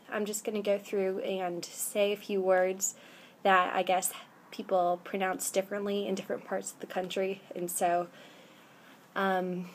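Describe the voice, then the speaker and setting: A woman's voice: medium pitch, a little hiss or hum. A woman in her twenties from the American Midwest, with a Midwestern accent, chatting casually on a webcam vlog and saying um often. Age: 20s. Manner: chatty, with frequent ums. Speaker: vlogger. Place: indoors by a window. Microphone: webcam at arm's length.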